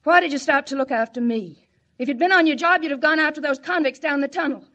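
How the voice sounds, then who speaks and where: A woman playing a feisty, sharp-tongued young reporter in a 1940s radio drama. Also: sits high, fast. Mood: recovering, scornful. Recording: old radio recording, faint hiss.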